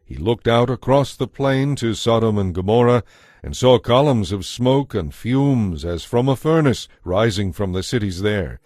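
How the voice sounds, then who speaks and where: A man narrates a dramatized Bible story from a script. His voice sits on the low side, and the recording is dry with no room sound. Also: lively intonation, a little slow, a little mellow, no echo.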